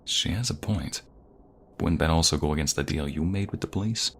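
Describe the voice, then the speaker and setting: Very deep, gravelly protective mobster played by a man. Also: a little fast, slight background noise. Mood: calm, concerned. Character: protective reformed mobster, devoted tender fiancé. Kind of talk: ASMR roleplay acting.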